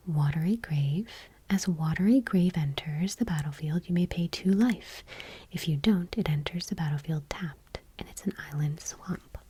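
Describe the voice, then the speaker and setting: A woman ASMR host softly reading in a hushed, breathy whisper, gentle and unhurried, item by item. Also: low-pitched, slight background noise. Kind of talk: soft-spoken ASMR, whispered card reading.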